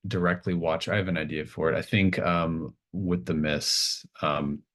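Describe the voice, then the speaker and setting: A man with a very deep voice runs a tabletop horror game. He improvises the narration casually, pausing and restarting as he builds each image. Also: fairly even intonation, fairly bright tone. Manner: halting, casual phrasing as he improvises scenes. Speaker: game master.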